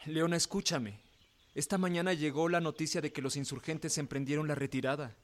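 Earnest young Mexican man, higher voice actor's role. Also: fairly even intonation, a little fast, lightly acted. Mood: troubled. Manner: earnest, insistent. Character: earnest, stubborn young man of a Creole family. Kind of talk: Spanish-language radio drama acting.

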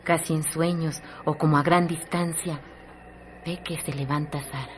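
Woman narrator, lyrical, measured, low voice, noisy radio drama recording. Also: fairly even intonation.

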